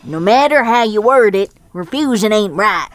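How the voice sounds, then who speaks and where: A woman's voice: mid-range voice, lively intonation, moderate pace, no room sound, close to an everyday voice. A woman voicing a firm but kindly elderly country granny pony, with folksy speech, in a dramatic reading. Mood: stern. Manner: folksy, plain-spoken rural speech. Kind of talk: dramatic fan fiction reading.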